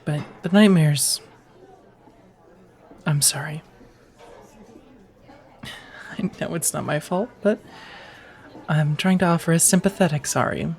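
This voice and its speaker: A woman's voice: low-pitched, vocal fry. A woman playing a friendly, teasing firefighter on a date in an intimate, casual ASMR romance roleplay, chatty and reassuring. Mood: sympathetic. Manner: chatty, playfully wry, reassuring, sprinkled with soft laughs.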